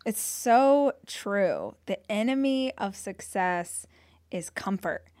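A woman hosts a podcast interview and reflects aloud with enthusiasm. Her voice sits at a medium pitch. The sound is dry, with no room echo. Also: expressive, takes it slowly, darkish tone. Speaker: podcast host. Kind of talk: conversational interview.

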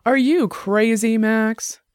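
A combative young woman musician, tipsy and loud, voiced by a man reading an audiobook. She talks with derision and a raised, pushy pitch. The sound is dry and close. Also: big pitch swings, normal speed, no echo.

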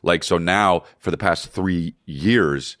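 A man's voice: low voice, takes it slowly, fairly bright tone, no echo, studio quality. A man in his forties co-hosting a casual podcast, joking and rambling, a little congested. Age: 40s. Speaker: podcast co-host. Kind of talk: casual chat.

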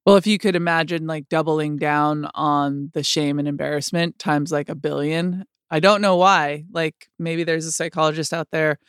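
Low-voiced woman, halting and candid. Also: steady tone, clean tone, no echo, clean studio sound. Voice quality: lower voice than most women. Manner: halting, many likes and restarts. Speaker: guest sharing her experience. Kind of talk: candid conversational talk.

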